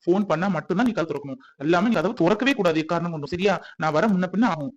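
Man playing a fast-talking, reassuring young man. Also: sits high, animated. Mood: reassuring, firm. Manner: soothing, insistent, repeats "okay?". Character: reassuring young man protecting his runaway partner. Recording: dull lo-fi audio.

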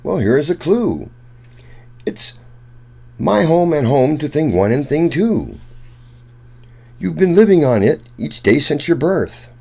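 A man reading a rhyming children's picture book aloud in an expressive, sing-song storyteller's way. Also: on the high side, slow pace, creaky, long pauses. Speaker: children's story reader, host.

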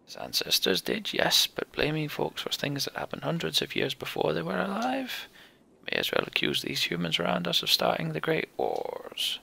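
A man voicing a wry, learned old horned giant from a game, explaining things in a dry, measured way. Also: a little high, huge pitch swings, vocal fry, pushed and bright, breathy edge, pitched above the performer's own voice, full character voice. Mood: reproving. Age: older.

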